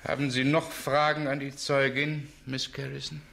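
Gravelly, forceful male judge. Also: medium pitch, little pitch movement, normal speed. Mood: authoritative. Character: formal, authoritative male presiding judge. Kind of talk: German radio play acting.

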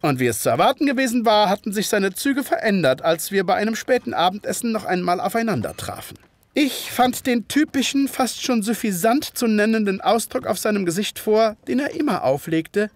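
An actor playing a loyal, puzzled gentleman narrator in a detective audio drama, with measured, formal, literary phrasing. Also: high voice, sing-song, no echo, heavily acted. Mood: reflective.

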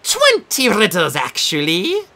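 A shrill, gleeful sphinx hostess, a lion-bodied monster who stretches each word like a game-show announcer, as a man puts her on in an audiobook. Her voice swoops wildly up and down, with a strange, bright, sharp edge. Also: wildly swooping pitch, exaggerated voice. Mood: gleeful showy. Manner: showy, drawn-out announcer delivery. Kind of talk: audiobook character voice.

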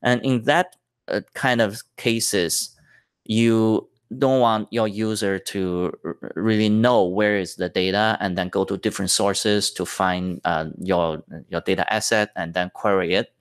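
A man's voice: lowish voice, fairly even intonation, a little slow, smooth, no echo, clean studio sound. A man giving a technical conference talk over slides, explaining step by step with many fillers and restarts. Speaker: tech presenter.

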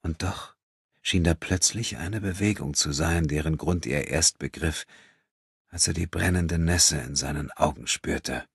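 A male audiobook narrator with an exceptionally deep voice and a heavily husky texture. He reads dramatic literary prose in a close, dry recording. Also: a little slow, no echo.

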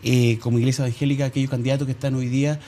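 A man's voice: mid-range voice, flat delivery, rapid-fire, mellow, a little hiss or hum. A man with a Chilean accent, an evangelical pastor speaking insistently and defiantly as a guest in a TV studio.

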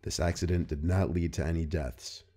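A man reading scripted narration in a measured, serious manner. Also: deep voice, steady tone, mellow, a little hiss or hum. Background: music.

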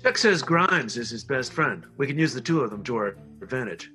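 A man's voice: mid-range voice, big pitch swings, brisk, pushed and bright, soft background music. A man acting a scheming undercover spy villain who poses as a boss, in a script read. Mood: cold, commanding.

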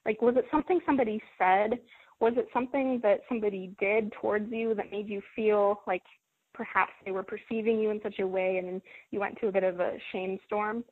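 Lady coaching on a call, calm and encouraging, kinda husky, phone-line sound. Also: mid-range voice, a little fast, no echo. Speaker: host of a self-help conference call. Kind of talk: coaching talk.

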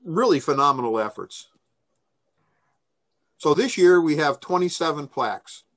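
A man's voice: fairly high pitch, sing-song, unhurried, fairly bright tone. An older man giving an informal slide briefing over a video call, conversational and enthusiastic in manner. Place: small room indoors, on a video call. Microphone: video call microphone.

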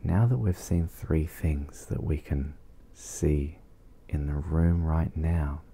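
Male meditation guide for ASMR, extremely low with gravelly fry, soft and soothing, leaving long pauses.

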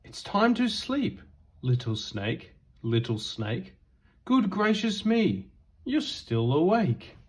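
A man reading a children's bedtime picture book aloud in a gentle, singsong rhythm. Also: high voice, big pitch swings, slow pace, breathy edge.

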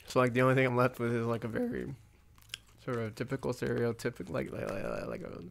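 A man's voice: medium pitch, expressive, fast talker, vocal fry, slight background noise. A young man rambling casually to himself while doing live commentary on an old movie, full of fillers and restarts. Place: at home.

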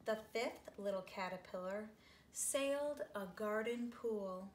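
A middle-aged woman reads a children's picture book aloud at a medium pitch. Her phrasing is expressive and drawn out, addressed to young listeners. The recording is roomy, made at home with some background noise. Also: a little slow. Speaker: read-aloud storyteller for children. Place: small living room, seated on a couch.

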